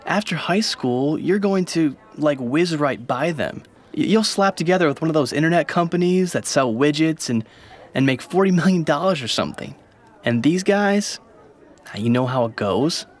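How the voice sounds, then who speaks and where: A man's voice: fairly high pitch, lively intonation, moderate pace. A man playing a confident, breezy, slangy teenage buddy in a radio drama. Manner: slangy, casual, cajoling.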